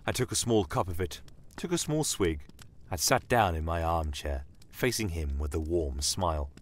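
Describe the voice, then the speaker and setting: A man's voice: low-pitched, lively intonation, normal speed. A man reading scripted first-person dramatic narration for a fantasy story podcast.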